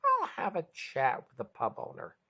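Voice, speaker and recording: A boastful, chummy alien fellow out to party, as a woman storyteller plays him. The voice is low and swings about in pitch, jovial and confident, on a slightly muffled recording. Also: wildly swooping pitch, normal speed, sharp tone, exaggerated voice. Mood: jovial boasting. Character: mischievous, fun-loving male alien partygoer, boastful and generous.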